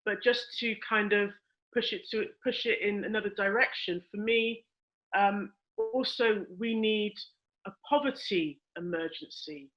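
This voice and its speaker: A woman speaking off the cuff as a panelist, in a measured, opinionated and emphatic way. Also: mid-range voice, muffled recording.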